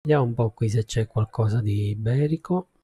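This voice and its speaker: A man chatting casually with viewers as he livestreams a game, haltingly thinking aloud. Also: on the low side, mellow, husky, dry recording. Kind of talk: casual chat with stream viewers while gaming.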